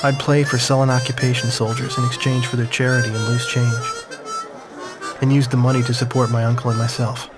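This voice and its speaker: An actor playing a reflective man who recalls his wartime childhood in measured, somber game-story narration. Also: mid-range voice, flat delivery, lightly acted. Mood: wistful, somber. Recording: music behind the voice. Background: prominent music.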